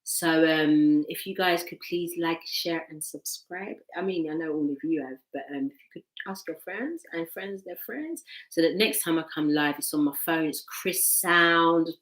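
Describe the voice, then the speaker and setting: A woman's voice: deep voice, moderate pace, hardly any pauses. Woman reading tarot in a roomy echo. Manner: animated, veering from excited outbursts to soft murmuring. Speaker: tarot reader. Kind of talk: live-streamed tarot reading. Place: at home. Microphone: laptop microphone. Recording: echoey room, laptop mic.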